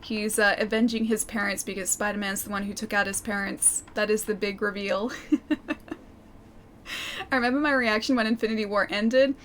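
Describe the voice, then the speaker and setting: A young woman streaming a game and chatting with her viewers, her voice a little croaky and scratchy from a sore throat, laughing often and calling people dude and man. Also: medium pitch, steady tone, moderate pace, fairly bright tone. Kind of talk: casual chat with live stream viewers.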